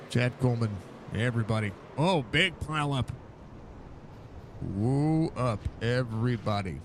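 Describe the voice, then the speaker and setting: Gravelly, stuffy male race caller. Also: lowish voice, noisy background. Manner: excited, rambling play-by-play with fillers. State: stuffy, congested. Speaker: sim racing broadcaster. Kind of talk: live race commentary.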